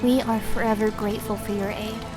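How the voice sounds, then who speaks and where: A woman playing a solemn, gentle ninja warrior in a fantasy audio drama, speaking in a subdued and formal way. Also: mid-range voice, level tone, a little fast, music in the background, close to an everyday voice.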